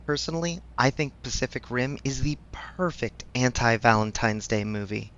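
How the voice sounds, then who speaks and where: A man's voice: medium pitch, moderate pace, fairly bright tone, highs cut off, close to an everyday voice. A man playing a supportive best friend in a casual audio roleplay, speaking frankly with affectionate teasing. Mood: relaxed, playful. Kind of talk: ASMR audio roleplay, conversational.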